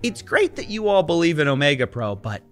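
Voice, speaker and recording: A man's voice: a little high, sing-song, fairly quick, a bit bright, faint music behind. A man in his thirties giving investigative commentary in an incredulous, emphatic way at a close studio mic. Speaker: video presenter investigating scams. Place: small indoor studio. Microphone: close desk microphone.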